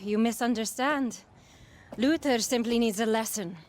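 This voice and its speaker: Cold female game antagonist, acted by a woman, high voice, unhurried taunts, noticeable background noise. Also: lightly acted. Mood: taunting. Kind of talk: cinematic video game acting. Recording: clear noise behind the voice.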